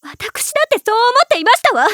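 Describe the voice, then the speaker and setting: A woman's voice: very high voice, very animated, fast talker, bright, forceful tone, dry recording, clean studio sound, exaggerated voice. A woman voicing a proud, self-blaming teenage schoolgirl in an anime, with formal, refined ladylike speech. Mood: anguished. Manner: formal, refined ojou-sama way of speaking. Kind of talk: anime voice acting.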